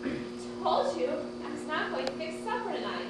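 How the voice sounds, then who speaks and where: A woman's voice: sits high, big pitch swings, normal speed. A woman playing a talkative, fussy, exasperated housewife in a stage comedy, with a chatty, dramatic, self-important way of talking. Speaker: actor in a student one-act play. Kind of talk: live stage comedy acting. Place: theatre stage, distant microphone. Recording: distant echoey stage recording, noisy.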